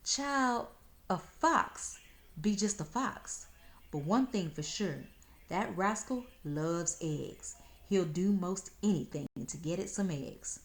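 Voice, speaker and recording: A woman's voice: on the low side, animated, slow. A woman voicing a no-nonsense old country grandmother in a storybook, with folksy, firm speech. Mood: thoughtful, knowing. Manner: folksy, firm, rural dialect. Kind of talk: storybook read-aloud.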